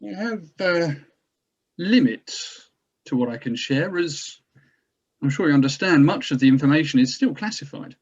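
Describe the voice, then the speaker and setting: Casting: man as cavalier, self-important government geneticist, slightly high voice, hedging and formal, audio drama read, dull sound. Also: expressive, moderate pace, slightly rough. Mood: evasive, measured. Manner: pompous, cavalier, hesitant formal phrasing. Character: pompous, evasive government geneticist doctor, a man. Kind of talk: scripted drama table read.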